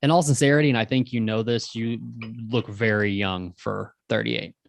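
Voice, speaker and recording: A man's voice: fairly low pitch, steady tone, normal speed, vocal fry, dry recording. A man co-hosting a podcast, asking probing questions with frequent restarts and fillers. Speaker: podcast co-host. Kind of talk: casual chat.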